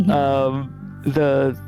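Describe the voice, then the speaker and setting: A man who works as a game developer, giving casual interview answers in a thoughtful, halting way. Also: medium pitch, a little mellow. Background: background music.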